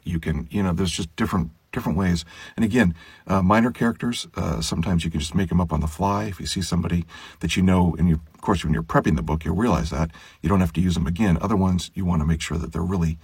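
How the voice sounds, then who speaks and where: Male audiobook narrator, casual explainer, deep gravelly voice, dry close sound. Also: fairly even intonation, fast talker, no echo. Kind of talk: casual explanatory chat to viewers.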